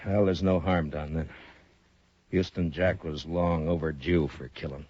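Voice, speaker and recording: An actor playing a calm, commanding frontier marshal in a 1950s radio drama. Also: male voice, very deep voice, measured pace, husky, no room sound, highs cut off, exaggerated voice. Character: stern, steady male frontier marshal.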